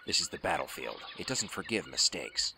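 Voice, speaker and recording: An actor playing a gruff, world-weary special-forces soldier in an audio drama, terse and sardonic. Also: male voice, very deep voice, big pitch swings, moderate pace, bright, forceful tone, slight background noise, exaggerated voice. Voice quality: gravelly. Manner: terse, commanding, dry sarcasm.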